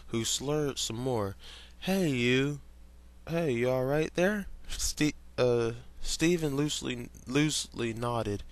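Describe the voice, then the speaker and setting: A man reading fan fiction aloud as an amateur narrator, stumbling over words and adding casual asides. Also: mid-range voice.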